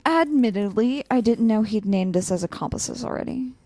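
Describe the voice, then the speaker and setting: A woman's voice: fairly high pitch, animated, normal speed, mellow, no room sound. A woman playing an earnest, conflicted, loyal young student in a naturalistic audio drama, a little hesitant.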